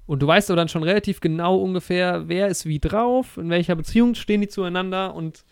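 A man talks about a film in a loose, eager ramble, piling up fillers and restarting his sentences. His voice has a gravelly edge, and the recording is dry and close. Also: sits high, fairly quick, no echo. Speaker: podcast co-host. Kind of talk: casual film discussion.

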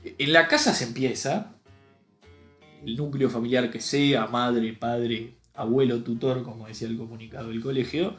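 A man's voice: mid-range voice, fairly even intonation, normal speed, fairly bright tone, roomy sound, faint music behind. A man, hosting a podcast in a chatty, joking way. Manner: chatty, jokey, plays voices in retellings. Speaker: podcast host. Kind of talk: casual podcast conversation.

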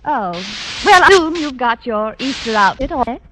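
An actress playing a catty, boastful rival schoolteacher in a 1950s radio comedy, with affected, condescending sweetness. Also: female voice, high voice, expressive, normal speed. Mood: smug. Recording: very noisy, clicking old radio recording.

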